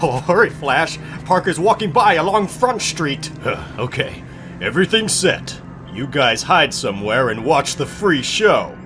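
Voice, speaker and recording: A man's voice: a little high, unhurried, music in the background. A man playing a cocky teenage school bully and prankster, with brash delivery in a comic book dramatization. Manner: brash, animated.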